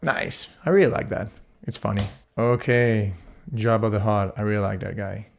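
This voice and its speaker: A man's voice: fairly low pitch, steady tone, normal speed, creaky, a little hiss or hum. A man talking casually through a sound design tutorial as he works, playful and informal, thinking aloud. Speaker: sound designer demonstrating a voice plugin.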